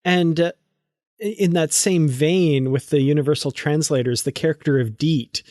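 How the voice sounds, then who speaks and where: A man's voice: fairly high pitch, moderate pace, darkish tone, no room sound. Enthusiastic man hosting a podcast, dry sound. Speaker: podcast host. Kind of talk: casual chat.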